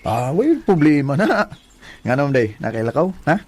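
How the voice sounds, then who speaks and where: Animated Cebuano friendly male co-worker, teasing radio drama delivery, highish voice, some background noise. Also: normal speed. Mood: easygoing. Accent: Cebuano Filipino. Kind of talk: Bisaya radio drama acting.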